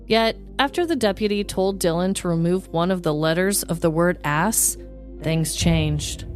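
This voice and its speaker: A woman narrates a scripted true-crime tale for comedy, as a podcast host. Her voice sits fairly low. Music plays underneath. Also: moderate pace.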